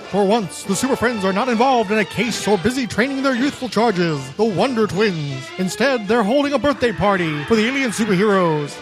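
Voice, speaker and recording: High-pitched man narrating radio drama. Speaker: podcast host and narrator.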